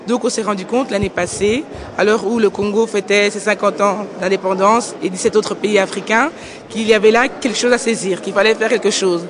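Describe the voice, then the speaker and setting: A woman's voice: mid-range voice, fairly even intonation, a little fast, noisy background. A young woman from Belgium, co-founder of a language school, answering interview questions in an earnest, articulate way. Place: indoor book fair hall. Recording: busy crowd chatter behind.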